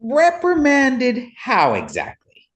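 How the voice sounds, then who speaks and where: A woman's voice: sits high, wildly swooping pitch, slow pace, heavily acted. A woman playing a cheeky, blunt and curious visitor in an audio play. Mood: questioning.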